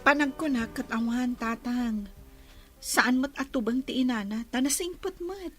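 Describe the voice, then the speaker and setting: High-pitched, earnest young woman acted by a woman. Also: a little slow, faint music behind. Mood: pleading. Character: devoted, caring young woman in love. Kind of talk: radio drama acting.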